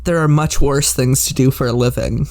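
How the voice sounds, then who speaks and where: A man's voice: medium pitch, fairly even intonation, moderate pace, a little mellow, slight background noise. Laid-back young male podcast host. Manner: casual, self-deprecating, uses like and um. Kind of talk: casual podcast interview.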